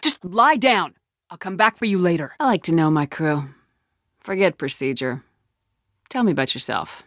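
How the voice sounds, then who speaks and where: A woman's voice: low voice, dry recording, clean studio sound, lightly acted. A woman acting as a tough, confident space-navy commander in a video game, with a firm, controlled voice and a blunt, curt, assertive delivery. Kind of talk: game dialogue, isolated voice lines.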